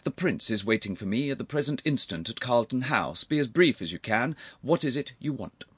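A man's voice: medium pitch, expressive, brisk, husky, no room sound, voice pushed up. A man voicing a haughty, irascible older aristocratic uncle in a storybook reading, stern and pompous, with cutting formal phrasing. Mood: acid, commanding. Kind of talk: audiobook character voice.

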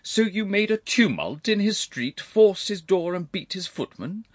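Man acting a haughty, irascible older gentleman, animated pitch. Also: unhurried, dry recording, studio quality, exaggerated voice. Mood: indignant. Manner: stern, pompous, cutting formal phrasing. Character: haughty, irascible older aristocratic uncle, sharp and severe. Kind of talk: audiobook character voice.

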